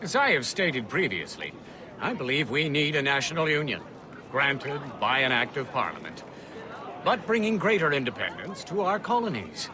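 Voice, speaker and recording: A colonial official, played by a man, making a formal speech with a lively, varied pitch. He is heard from a distance, and a crowd murmurs around him. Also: moderate pace, lightly acted.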